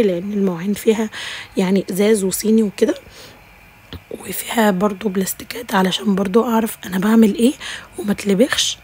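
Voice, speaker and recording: A woman's voice: medium pitch, a little mellow, breathy edge. A woman with an Egyptian accent narrating a home routine vlog, affectionately calling her viewers dear sisters, her voice a little tired. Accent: Egyptian Arabic. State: slightly tired voice. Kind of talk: casual voice-over narration.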